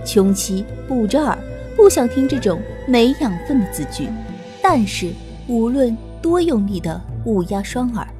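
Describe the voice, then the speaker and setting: A woman narrates a novel in a high, very animated voice. Her storytelling is scripted and moves freely up and down in pitch. Faint music plays behind her. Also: unhurried. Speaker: audiobook narrator.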